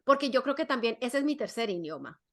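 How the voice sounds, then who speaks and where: A woman's voice: a little high, sing-song, brisk. A middle-aged woman, a psychologist and podcast guest, talking casually and often restarting and repeating her words. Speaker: guest, clinical psychologist and parenting expert.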